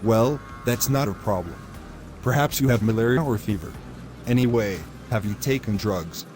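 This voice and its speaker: A man's voice: on the low side, normal speed, over music, natural voice. A man playing a pushy, selfish young boyfriend in a scripted moral story, speaking in formal, wordy, insistent phrases. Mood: dismissive, evasive.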